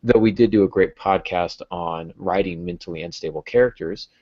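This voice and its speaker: A man's voice: sits low, moderate pace, a little mellow. A man in his thirties hosting a casual podcast discussion about writing, recorded over a video call at home. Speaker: podcast host. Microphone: earbud headset. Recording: dull, lo-fi video-call audio.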